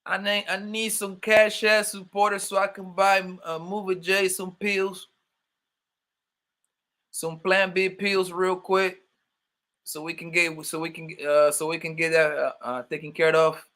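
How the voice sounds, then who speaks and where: A man's voice: sits high, little pitch movement, unhurried, bright, forceful tone. A young man with a New York accent hosting a casual livestream, joking loosely and calling out chat viewers by name. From born in Colombia, raised in Brooklyn, New York. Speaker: livestream host.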